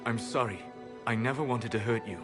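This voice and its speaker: An actor playing a soft-spoken male elf, calm and regretful, speaking quietly and gently over loud game music. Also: mid-range voice, fairly quick, close to an everyday voice. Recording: game score loud behind voice.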